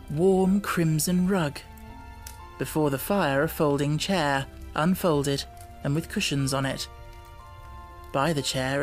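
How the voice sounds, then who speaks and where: A woman reading an audiobook as narrator, with deliberate phrasing and expressive, dramatic emphasis. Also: deep voice. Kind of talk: dramatic scripted storybook narration. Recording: music bed under the voice. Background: music.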